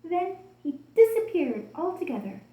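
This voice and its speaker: Female children's storyteller, soft warm tone, expressive deliberate reading, echoey room sound. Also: extremely high pitch. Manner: expressive, deliberate storytelling addressed to young listeners.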